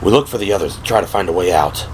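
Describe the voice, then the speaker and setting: Man playing a steady, soothing male officer. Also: very fast, a little hiss or hum, poor audio. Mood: serious, decisive. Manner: low, soothing, serious. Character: steady, reassuring male special-police officer, serious and gentle. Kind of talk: audio drama character acting. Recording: music behind the voice.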